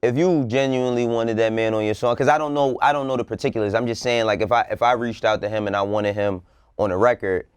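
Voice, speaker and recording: A man's voice: medium pitch, fairly even intonation, fast talker, clean tone, no echo. A man co-hosting a music talk show, giving blunt, slangy opinions and repeating words for emphasis. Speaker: co-host of a music news show. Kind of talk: casual opinionated panel debate.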